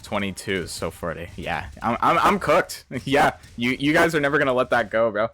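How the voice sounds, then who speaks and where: A man's voice: medium pitch, animated, normal speed, sharp tone, faint music behind. A man in his twenties chatting casually on a live stream, reading out chat questions and answering in slangy, profane banter. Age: 20s. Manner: slangy, reads chat aloud, swears and laughs often. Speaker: game developer streamer.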